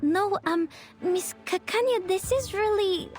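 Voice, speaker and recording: Shy awkward girl agent in a video game, really high voice, slow and hesitant. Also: faint music behind. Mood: flustered, hesitant. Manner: hesitant, stammering. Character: timid, awkward young female agent, secretly scheming. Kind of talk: video game character acting.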